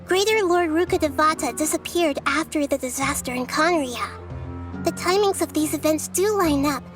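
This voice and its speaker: A woman playing a childlike, wise young goddess in a video game, speaking in a measured, thoughtful, earnest way. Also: sits high, music in the background, lightly acted. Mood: composed, gracious. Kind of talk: scripted video game voice acting.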